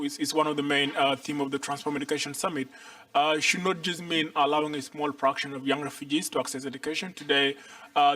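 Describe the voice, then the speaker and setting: A man in his twenties from Ethiopia, giving earnest, deliberate panel remarks and repeating his key points. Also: fairly high pitch, a bit bright, no echo. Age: 20s. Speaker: panel speaker. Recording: mic far off, dry sound.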